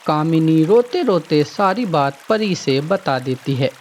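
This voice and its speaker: A woman narrating a children's moral story in a calm, measured way. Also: low voice, slight background noise. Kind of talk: scripted narration.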